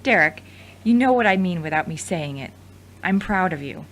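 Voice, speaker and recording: A woman's voice: fairly low pitch, expressive, normal speed, a little hiss or hum, lightly acted. A woman playing a frank, supportive ex-girlfriend in a conversational audio drama. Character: frank, teasing, supportive ex-girlfriend and studio manager.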